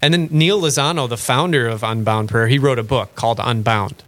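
Bright-voiced chatty male radio host. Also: medium pitch, fairly quick. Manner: chatty, self-deprecating, laughs easily. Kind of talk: casual faith radio chat.

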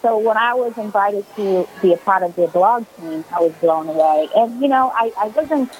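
A woman's voice: mid-range voice, moderate pace, a little mellow, slight background noise, low-quality recording. A woman in her forties from Brazil, a guest on a radio call-in interview, telling her story earnestly with many fillers. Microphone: phone call.

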